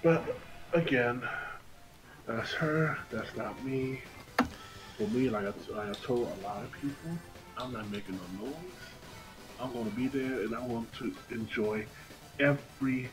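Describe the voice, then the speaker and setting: A man chats casually as he streams, with clear game music playing behind him. His storytelling is animated and full of slang, in a medium-pitched voice. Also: unhurried, long pauses, low-quality recording. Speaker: game livestreamer. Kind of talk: casual chat over gameplay. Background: video game music.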